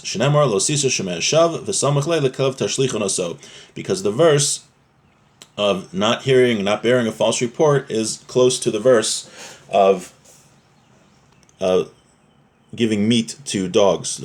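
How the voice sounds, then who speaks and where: A man giving an informal religious lesson, reading from a text and explaining it plainly as a teacher. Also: medium pitch. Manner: explanatory, restating points in plain words.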